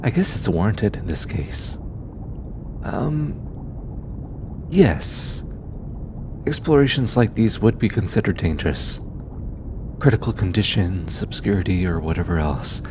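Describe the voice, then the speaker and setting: A man playing a gentle, earnest alien scientist in an intimate audio drama, bookish and easily flustered, with a hesitant, softly stammering delivery. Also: measured pace, pauses often. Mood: earnest, explanatory. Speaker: voice actor. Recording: steady low rumbling background noise.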